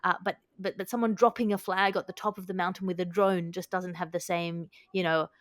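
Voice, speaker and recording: A woman's voice: fairly low pitch, flat delivery, fairly quick, dry recording. A woman hosting a comedy podcast, chatting in an articulate, wordy and wry manner. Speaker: podcast host. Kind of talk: comic panel chat.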